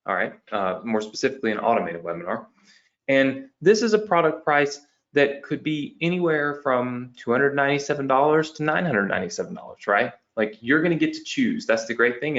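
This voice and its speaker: A man's voice: medium pitch, fairly bright tone, lo-fi sound. A man who is losing his voice, teaching an online business lesson at a whiteboard and checking in with "okay?" and "right?" after each point. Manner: tag questions after each point, explaining step by step.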